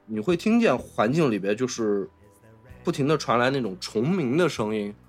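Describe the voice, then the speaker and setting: A man's voice: mid-range voice, very animated, moderate pace, soft background music. A man with a Mainland Chinese Mandarin accent hosting a casual film discussion, explaining and rephrasing his points as he goes. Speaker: podcast host.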